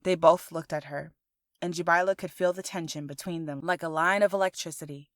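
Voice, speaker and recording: Lower-pitched woman narrating a story. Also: steady tone, fairly quick, dry recording, clean studio sound. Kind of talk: scripted audiobook narration.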